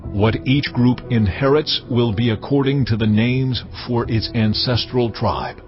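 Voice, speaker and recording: An actor playing God as a solemn lawgiver, measured and commanding, in a dramatised scripture reading. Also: male voice, mid-range voice, fairly even intonation, close to an everyday voice. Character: God, solemn male lawgiver speaking to Moses. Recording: slightly muffled, music underneath. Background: background music.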